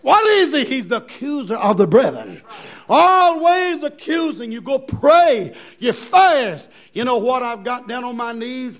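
High-pitched American man preaching with fervour. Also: sing-song, unhurried, fairly bright tone. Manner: impassioned, emphatic, repeating phrases and questions. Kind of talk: fervent revivalist Pentecostal sermon.

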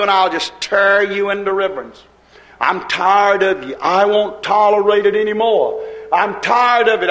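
High-voiced fiery preacher man. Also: fairly bright tone, reverberant, rough recording. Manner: preaching with repetition and rising cadence. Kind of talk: fiery sermon.

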